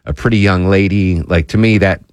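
A man's voice: low voice, steady tone, moderate pace, smooth, no echo. A man with a Connecticut accent hosting a radio talk show in a studio, rambling and chatty with lots of fillers. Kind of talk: casual on-air chat. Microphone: studio microphone.

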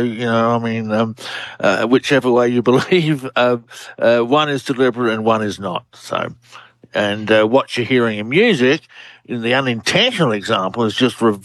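Older Australian man, seventies, radio interview guest, enthusiastic, halting restarts, dry close sound. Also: medium pitch, fairly bright tone, no echo, rough recording. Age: 70s.

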